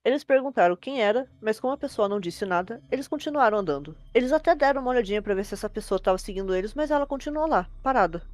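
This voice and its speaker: Fast-talking Brazilian woman storyteller. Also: fairly high pitch, animated, a little mellow, dry recording. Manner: informal, fluent retelling. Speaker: host and storyteller. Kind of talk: conversational narration of a creepypasta.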